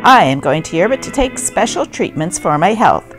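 A woman's voice: medium pitch, big pitch swings, moderate pace, loud music behind the voice. A woman narrator reading old newspaper stories aloud in a theatrical, scripted style.

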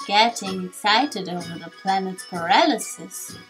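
A woman's voice: medium pitch, unhurried, fairly bright tone, poor audio. A woman voicing a lazy, apathetic creature from a video game. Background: game music.

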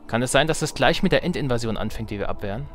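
A man's voice: medium pitch, lively intonation, racing, a bit bright. Chatty young man commenting on a game. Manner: chatty, thinking aloud. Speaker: let's player. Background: game music.

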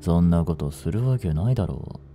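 A man playing a blunt, languid young delinquent and protective childhood friend, drawling gruffly and teasingly in an intimate audio drama. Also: low-pitched, expressive, normal speed, dark tone, soft background music. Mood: casual, teasing.

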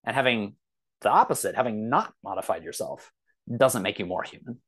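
Low-voiced man talking casually. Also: lively intonation, fairly bright tone, husky. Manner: halting, enthusiastic, thinking aloud. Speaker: podcast guest, author. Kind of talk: casual discussion.

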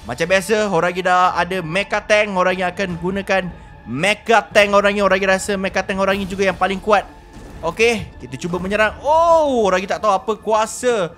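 A man with a Malaysian accent hosting a gaming video, giving excited, theatrical and repetitive role-play commentary on his play. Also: high-pitched, brisk, faint music behind.

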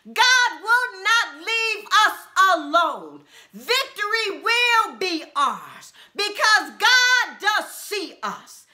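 A middle-aged woman pastor preaching a sermon from a small room at home, with impassioned repeated refrains and drawn-out emphasis. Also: extremely high pitch, pushed and bright.

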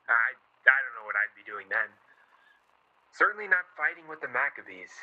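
An actor playing an earnest, gently teasing young newspaperman of the 1940s in a radio play. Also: mid-range voice, sing-song, moderate pace, narrow, tinny audio.

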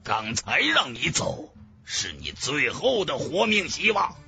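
A man's voice: on the high side, wildly swooping pitch, slow pace, creaky, pushed and bright, faint music behind, exaggerated voice. A man voicing a menacing, arrogant male villain in a fantasy audiobook, sneering and accusing.

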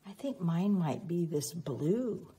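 A woman reading a picture book aloud expressively to young children, prompting them with teacherly questions and pauses. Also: lowish voice, expressive, warm tone, slightly rough. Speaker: children's read-aloud reader.

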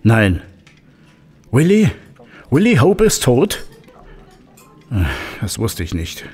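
A man playing an excitable, learned professor in a German audio drama, given to muttering, humming and exclaiming. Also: medium pitch, takes it slowly, slightly rough, faint music behind, full character voice. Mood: shocked. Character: excitable, learned male professor and steam technology expert.

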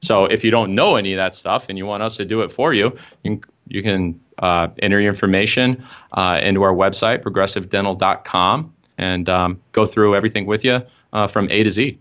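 A young man speaks from an office over a video call, his voice very low and the audio a bit dull and lo-fi. He explains things in a salesy, step-by-step way, sprinkled with uhs and ums. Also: a little fast, fairly bright tone. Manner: explanatory, pitch-like with fillers. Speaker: marketing consultant guest. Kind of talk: interview answers. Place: office room.

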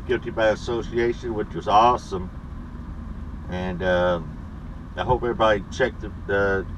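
A middle-aged man talks from inside his truck cab, filming himself with a dash camera. He speaks slowly, with a slightly low voice, and rambles on with fillers and pauses. A clear engine and air conditioning hum runs under everything he says. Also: dull audio. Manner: laid-back, rambling, with frequent pauses and fillers. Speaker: truck driver vlogger. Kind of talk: casual weekly vlog. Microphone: camera mounted on the dashboard.

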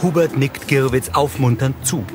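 A man's voice: medium pitch, moderate pace, music in the background. A man reading scripted audio description as a narrator.

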